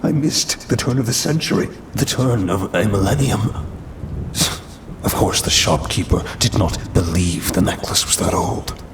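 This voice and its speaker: A man's voice: lively intonation, a little slow. A man playing a smug, ancient demon trapped in a cursed necklace in an ASMR roleplay, with haughty, teasing, old-fashioned speech. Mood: puzzled, indignant. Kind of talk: ASMR audio roleplay acting. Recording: rumbling rain and thunder noise.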